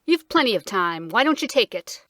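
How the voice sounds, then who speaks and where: A woman's voice: mid-range voice, big pitch swings, normal speed, fairly bright tone, dry recording, clean studio sound. A woman voicing a drowsy, cross old king who speaks solemnly and irritably, in a storybook reading. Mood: grumpy.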